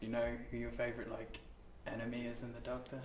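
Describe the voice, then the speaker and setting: Casual female interviewer, extremely low pitch, recorded away from the mic. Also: monotone, quick pace, a little mellow. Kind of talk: casual chat. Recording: distant, echoey room with background noise.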